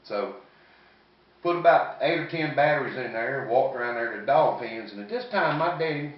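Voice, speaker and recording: A man's voice: medium pitch, lively intonation, moderate pace, slight background noise. Southern guy doing comedy stories, real folksy n rambly, cusses some, super echoey and kinda muffled. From American South. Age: 50s. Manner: folksy, rambling, salty-tongued. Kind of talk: stand-up comedy storytelling. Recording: echoey, distant, muffled room recording.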